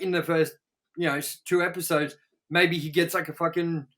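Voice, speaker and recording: A man chatting casually as a review show co-host, rambling emphatically and swearing freely as he pitches ideas. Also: pushed and bright.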